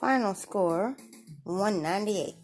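A woman's voice: mid-range voice, a little mellow. Friendly woman vlogging on her phone. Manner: friendly, repeats requests to like and subscribe. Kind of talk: casual chat to viewers. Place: small living room at home. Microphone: phone held at arm's length.

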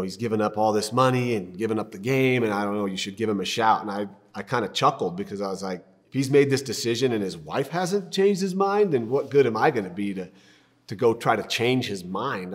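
A man's voice: on the low side, husky. A man who used to play football, reminiscing in a documentary interview. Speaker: former football player.

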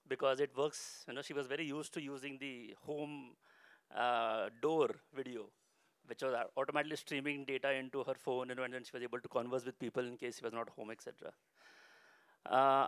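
A man's voice: medium pitch, clean tone, dry recording. A middle-aged man giving a semi-formal, conversational product presentation at a conference, explaining things with frequent filler phrases, on a handheld mic. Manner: explanatory, with frequent "you know" and "go ahead and" phrasing. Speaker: company director presenting a product launch. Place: stage at a large indoor expo conference. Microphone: handheld microphone.

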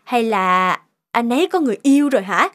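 An actress voicing a young female office worker in an animated cartoon, with a coy, expressive way of talking. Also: on the high side, a little fast. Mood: chatty, teasing.

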